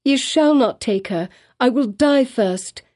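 A woman's voice: sits high, animated, takes it slowly, dark tone, voice pushed up, exaggerated voice. A woman voicing a desperate young mother who pleads for her child, her voice rising almost to a shriek. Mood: pleading.

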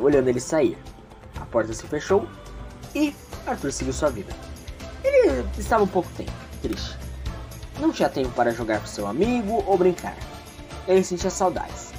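A man's voice: on the high side, wildly swooping pitch, normal speed, mellow, soft background music. A man narrating a story as the storyteller of an amateur audio drama.

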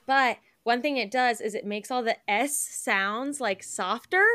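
A woman's voice: fairly high pitch, a little slow, bright, forceful tone. A young woman co-hosting a podcast, chatting casually with many fillers and frequent laughs. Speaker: podcast co-host and editor. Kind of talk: casual chat.

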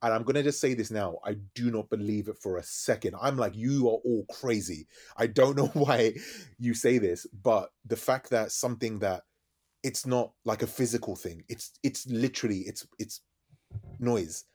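Male DJ guest, rapid animated storytelling, slightly low voice. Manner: fast-talking, animated storytelling. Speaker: DJ and radio host, podcast guest. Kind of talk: casual interview chat.

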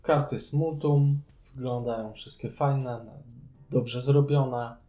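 A man's voice: medium pitch, fairly even intonation, slow, mellow, soft background music. A man giving casual opinions as co-host of a board game show. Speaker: podcast co-host.

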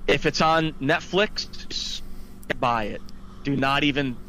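A man's voice: medium pitch, slow pace, pushed and bright, slight background noise, rough recording. A man in his thirties chatting casually and opinionatedly as a podcast co-host, with an emphatic, exasperated, ranting delivery. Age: 30s. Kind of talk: casual opinionated movie chat.

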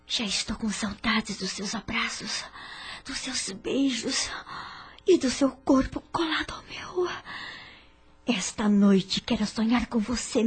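An actress playing a spirited, headstrong young heiress in love, with a theatrical delivery, in a radio drama. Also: female voice, a little high, husky, full character voice. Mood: dreamy.

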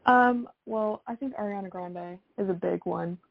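A woman's voice: mid-range voice, normal speed, gravelly, no echo, phone-quality sound. A young woman from South Florida chatting casually as an interview guest, peppering her answers with youthful slang. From South Florida, United States. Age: young adult. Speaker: singer-songwriter guest.

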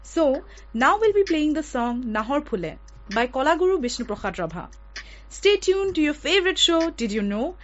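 A woman radio host with an Indian accent speaks in a very high-pitched voice. Her delivery is conversational presenting, addressed to a co-host and listeners. The audio is somewhat dull and lo-fi. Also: big pitch swings, normal speed, soft background music.

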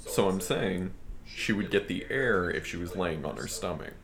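Podcast guest, bright tone, dry teasing delivery. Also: low-pitched, lively intonation, moderate pace. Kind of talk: casual chat.

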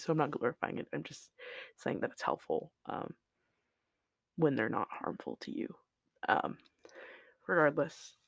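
Podcaster rambling solo into a shelf mic, flat monotone, gravelly fry, dead dry sound. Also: mid-range voice, dry recording. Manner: rambling, tangent-prone, frequent fillers. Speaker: podcast host. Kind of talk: unscripted solo podcast chat. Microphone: shelf-mounted studio mic.

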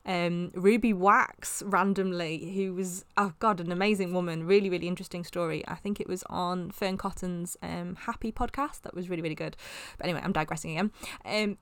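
A young woman vlogger with a slightly sore, croaky throat, talking to camera in a chatty, rambling way with many fillers. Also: on the low side, a bit bright, no room sound. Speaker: wellness vlogger. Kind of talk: casual talk to camera.

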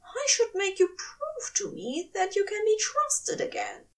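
Woman voicing an overwrought, high-pitched male angel. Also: huge pitch swings, unhurried, far from the mic, heavily acted. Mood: overwrought, hurt. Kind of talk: dramatic audio fiction reading.

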